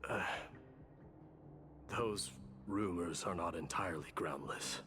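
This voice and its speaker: An actor playing a dignified warrior prince in a video game, speaking in a formal, restrained and earnest way. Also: male voice, low-pitched, slow, noisy background. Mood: earnest, firm.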